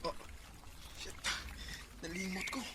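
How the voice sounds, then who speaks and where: A man's voice: slow, close to an everyday voice. Actor as easygoing young Cebuano Filipino man, noisy background. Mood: apologetic. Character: easygoing, apologetic young man, patient and devoted. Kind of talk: radio drama acting.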